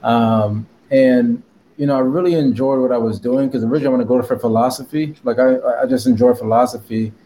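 Man from connecticut, guest, loose rambling talk, mellow voice, faint background noise. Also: mid-range voice, fairly even intonation, fairly quick, low-quality recording. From Connecticut, USA. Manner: rambling with many fillers and laughs. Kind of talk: casual interview chat.

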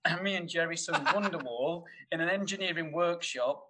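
A man's voice: a little high, normal speed, background noise. A man from Sheffield with a Northern English accent, telling a casual story as a podcast guest, stammering and laughing often. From Sheffield, England.

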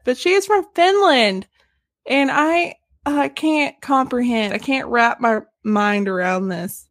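A woman with a Southern US accent co-hosting a casual podcast, animated and quick to laugh. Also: medium pitch. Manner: giggly, animated, laughs often. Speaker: podcast co-host. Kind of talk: casual podcast chat, livestreamed.

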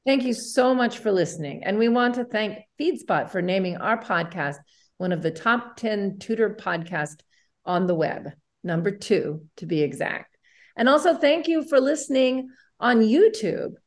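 A woman's voice: mid-range voice, expressive, moderate pace. A woman co-hosting a podcast in an upbeat style of presenting and chat. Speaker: podcast co-host.

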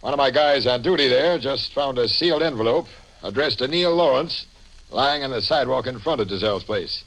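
An actor playing a no-nonsense homicide police captain in a 1950s radio crime drama, businesslike and commanding, with some slang. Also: male voice, mid-range voice, expressive, fairly quick, fairly bright tone, low-quality recording. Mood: brisk, commanding.

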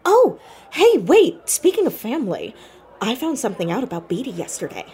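A woman's voice: on the high side, wildly swooping pitch, moderate pace, darkish tone. A woman playing a curious, dry-witted teenage girl investigator in a naturalistic audio drama. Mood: teasing, wry. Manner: inquisitive, dry, hesitant on personal matters. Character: Max, curious sharp-witted teenage girl sleuth, orphaned.

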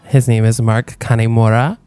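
A man's voice: mid-range voice, flat delivery, moderate pace, mellow, clear, resonant tone, faint music behind. A man co-hosting a podcast, chatting playfully and joking with his guest. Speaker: podcast co-host. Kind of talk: casual podcast chat.

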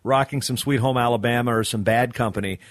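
A man's voice: medium pitch, fairly even intonation, fast, no echo, studio quality. Joking Michigan-accented male coach. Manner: joking, rambling storyteller. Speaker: assistant wrestling coach. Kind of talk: casual podcast chat.

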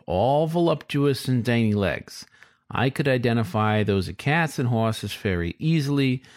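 A man's voice: medium pitch, dry recording, clean studio sound. A man reading a scripted first-person story as its narrator. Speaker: story reader.

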